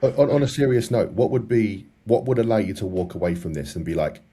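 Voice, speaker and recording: Male podcast co-host, British. Low, very quick delivery, casual and encouraging. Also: expressive, dark tone.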